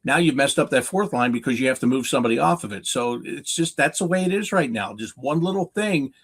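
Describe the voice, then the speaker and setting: A man with a North American accent and a mid-pitched voice talks sports off the cuff as a guest analyst. He is emphatic, asking questions and then answering them himself. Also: expressive, brisk, husky. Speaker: hockey play-by-play announcer as guest analyst. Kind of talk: unscripted sports talk, analysis.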